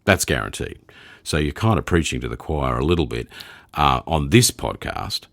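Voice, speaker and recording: A man hosting a conversational podcast interview, thinking aloud in a halting, searching way. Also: a bass voice, big pitch swings, gravelly, a bit bright, no echo, studio quality. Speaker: podcast host.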